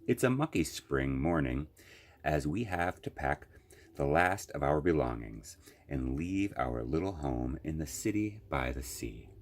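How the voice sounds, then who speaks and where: Slow, very low-voiced man reading a storybook. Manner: slow, deliberate phrasing with long pauses. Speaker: library storyteller reading aloud. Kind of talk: children's picture book read-aloud.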